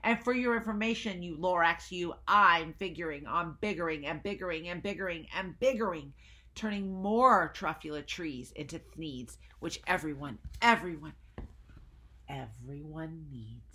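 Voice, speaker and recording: A greedy, stubborn man bellows his defiance from a storybook, as a woman reading aloud puts him on. His voice is loud, bright and forceful, and he drags out each insult. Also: lowish voice. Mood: defiant. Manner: shouting, defiant, drawn-out words.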